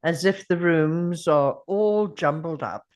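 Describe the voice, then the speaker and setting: A man playing a weary, grieving time traveller who muses slowly to himself in a script table read. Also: a little high. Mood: pondering.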